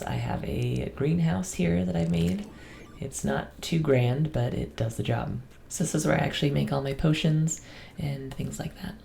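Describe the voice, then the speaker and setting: Raspy, very low-voiced woman with a cold. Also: a little hiss or hum. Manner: relaxed, chatty, with frequent ums and soft laughs. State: getting over a cold. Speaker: gaming channel host. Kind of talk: casual game world tour voice-over.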